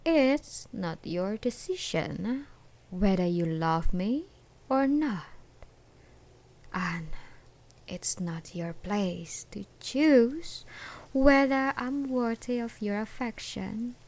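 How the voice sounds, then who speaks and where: Woman acting a slow, creaky obsessive ex-girlfriend. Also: medium pitch, expressive. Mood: bitter, possessive. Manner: slow, menacing, drawn-out taunting with dark chuckles and whispers. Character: obsessive, menacing jilted ex-girlfriend hunting her beloved. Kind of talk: ASMR roleplay, intimate dramatic monologue.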